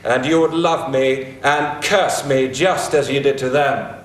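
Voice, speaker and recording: A man voicing a heroic warrior-king who speaks with slow, weighty scorn, in a theatrical dramatic reading. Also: medium pitch, natural voice. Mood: scornful. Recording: echoey room.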